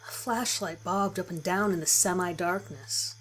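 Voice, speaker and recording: A woman's voice: fairly low pitch, moderate pace, a bit bright, a little hiss or hum, poor audio. A woman reading a first-person horror story as a dramatic scripted narration. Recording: music behind the voice. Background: music.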